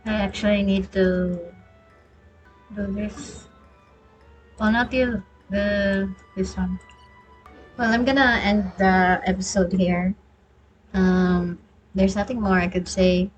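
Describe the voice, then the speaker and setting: A woman streaming a game with a medium-pitched, fairly flat delivery. She talks slowly and casually, halting mid-thought, with faint music behind her. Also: low-quality recording. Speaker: gaming streamer reacting to a story quest. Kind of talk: casual reaction commentary.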